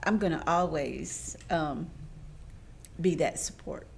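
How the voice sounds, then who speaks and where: A middle-aged or older woman with a soft voice, chatting from the heart in a gentle but firm, halting way. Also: low voice, vocal fry, a little hiss or hum. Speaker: guest, the host's mother. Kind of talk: casual heartfelt chat.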